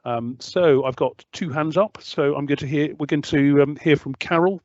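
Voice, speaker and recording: A man's voice: a little high, a little mellow, dry recording. Man chairing an online meeting. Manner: polite, measured, handing over to speakers. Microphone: video call. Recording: muffled, dry video call audio.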